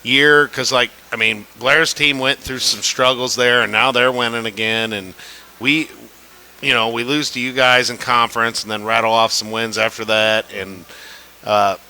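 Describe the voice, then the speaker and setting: Midwest coach cohost, teasing and jokey, real bright sharp voice. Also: male voice, mid-range voice, fairly even intonation, normal speed, clean tone, rough recording. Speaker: podcast co-host and basketball coach. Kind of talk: casual podcast chat.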